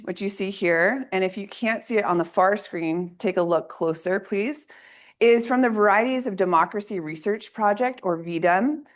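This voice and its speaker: A woman, an assistant professor, gives an academic presentation in a lecture room, her voice a little raspy from a coming cold, speaking in a clear, organized way. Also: mid-range voice. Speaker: assistant professor giving a workshop talk.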